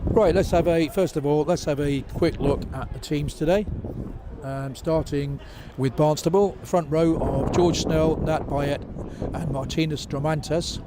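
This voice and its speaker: A hoarse man who is losing his voice, chatting casually with many fillers as he introduces a rugby match from a seat in the stand. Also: a little high, steady tone, measured pace. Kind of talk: solo pre-match commentary for an online stream. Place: seated in a stand at an outdoor rugby ground. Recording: crowd noise from a rugby stand. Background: crowd of spectators.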